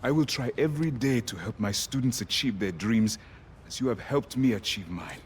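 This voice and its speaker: A man playing a grateful, gentle immigrant teacher in a video game, earnest and formal. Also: medium pitch, moderate pace, slight background noise, lightly acted.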